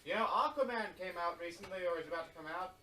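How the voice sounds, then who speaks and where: A man's voice: high-pitched, lively intonation, quick pace, sharp tone. A man co-hosting a let's play, chatting casually and piping up with trivia. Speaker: co-host. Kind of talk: casual chat.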